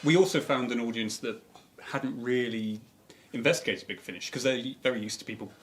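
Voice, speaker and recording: A man's voice: medium pitch, fairly quick, a little hiss or hum. A man, a writer, talking casually about his work in a promotional interview. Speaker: writer of an audio drama series.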